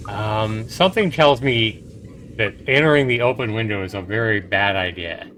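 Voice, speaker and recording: A man's voice: lowish voice, normal speed, a bit bright. A man streaming a visual novel, reading it aloud theatrically and emphatically, with his own commentary. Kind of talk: dramatic game read-aloud with commentary. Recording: noisy home livestream.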